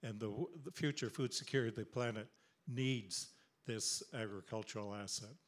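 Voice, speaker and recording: A man's voice: lowish voice, normal speed. A man speaking from the audience at a policy seminar, making his point emphatically with stumbling restarts. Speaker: audience member.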